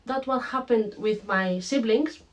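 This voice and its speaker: Vlogger, woman, romanian-greek accent with a bit of british, chatty, talks right to viewers, slightly high voice. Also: measured pace, muffled recording. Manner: chatty and animated, addresses viewers directly. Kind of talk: casual talking-to-camera vlog. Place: home room with a lamp.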